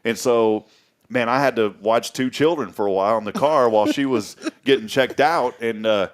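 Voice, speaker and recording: A man's voice: medium pitch, normal speed. A man hosting a morning talk show in a studio, chatting in a rambling way that often repeats words. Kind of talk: casual chat.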